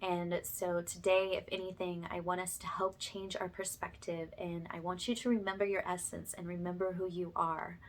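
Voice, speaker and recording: A woman with a slightly low voice speaks directly to her audience as a spiritual healer. Her delivery is earnest and reassuring, in the style of an ASMR monologue, with open affection for the listeners. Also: little pitch movement, normal speed, hardly any pauses.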